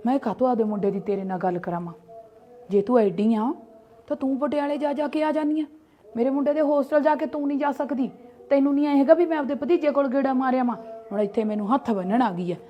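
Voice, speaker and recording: Woman doing a mom, middle-aged, sharp tongue, kinda high voice, snaps back quick. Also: slight background noise, natural voice. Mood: scornful. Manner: argumentative, quick retorts, scolding. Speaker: mother arguing with her sister-in-law. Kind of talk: family skit acting.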